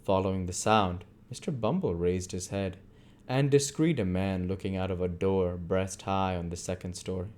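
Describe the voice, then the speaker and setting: A man's voice: low voice, normal speed, darkish tone. A man reading literary narration aloud as an amateur audiobook reader.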